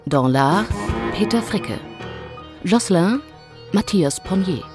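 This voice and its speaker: A woman reading a radio drama's scripted announcement in a measured, formal manner. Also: on the low side, animated. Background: music.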